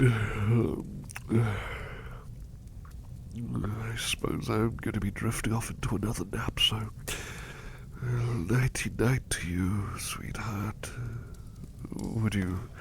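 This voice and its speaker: A man voicing an ill, devoted butler in an ASMR roleplay, talking softly in a whispery, affectionate way as he grows sleepy. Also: on the low side, creaky, a little hiss or hum. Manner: soft, whispery, affectionate, repeats phrases. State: sick and sleepy. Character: devoted, gentle male butler, ill in bed.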